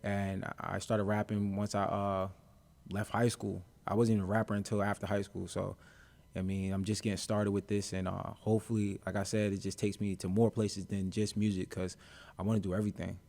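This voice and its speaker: A man's voice: on the low side, level tone, a little fast, vocal fry, a little mellow. A young adult man, a rapper being interviewed, talking in a laid-back, easygoing way with plenty of ums and uhs. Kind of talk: casual interview chat.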